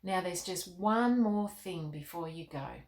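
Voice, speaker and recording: A woman voicing a kindly, majestic king in a children's storybook, measured, gentle and reassuring. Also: on the low side, reverberant, lightly acted.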